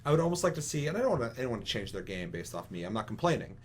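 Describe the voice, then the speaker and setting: A man's voice: medium pitch, sing-song, racing, slight background noise. A man co-hosting a casual gaming talk show, ranting with strong opinions in an emphatic, rambling, swear-heavy way. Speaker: podcast co-host.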